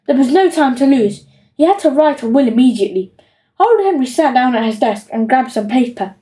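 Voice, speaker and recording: A woman reading a children's audiobook as an expressive storyteller. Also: sits high, a little fast, poor audio. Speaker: audiobook reader.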